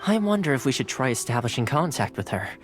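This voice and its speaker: Man acting a lively, easygoing young retainer. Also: medium pitch, fast, natural voice. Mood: thoughtful. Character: easygoing young male retainer, polite and cheerful. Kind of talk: anime-style video game voice acting. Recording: faint music behind the voice.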